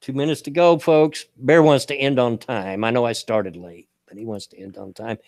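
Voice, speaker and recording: A man's voice: medium pitch, fairly even intonation, moderate pace, a little mellow, dry recording, clean studio sound. Scratchy-voiced man with a hillbilly twang. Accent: Southern Indiana and Kentucky. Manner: laughs often, folksy. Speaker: show host. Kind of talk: casual stream chat.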